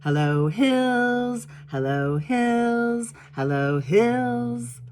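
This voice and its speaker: A woman's voice: fairly high pitch, expressive, very slow, darkish tone, pitched above the performer's own voice. An eager young storybook character, read by a woman, curious and longing to learn. The words come out earnest, simple and direct. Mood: joyful, singsong.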